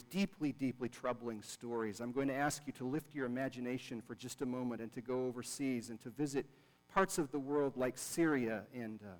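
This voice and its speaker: A man's voice: mid-range voice, a little mellow. A man preaching a sermon to a congregation, earnest and repeating words for emphasis. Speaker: pastor. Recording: long reverb of a large hall.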